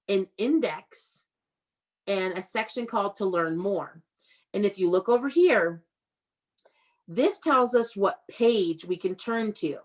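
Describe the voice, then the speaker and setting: Woman teacher leading an online read-aloud. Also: medium pitch. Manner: instructive, prompting repetition, enunciating key words. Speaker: elementary school teacher. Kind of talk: interactive online read-aloud lesson for young children. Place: indoors, on a video call. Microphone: video call.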